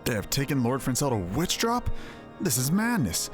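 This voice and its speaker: A man plays a commanding, earnest lord from a fantasy game. He speaks in formal, declamatory phrases at a middling pitch, with clear music behind him. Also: very animated, moderate pace, close to an everyday voice. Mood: urgent, resolute. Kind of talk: fantasy game dialogue read aloud.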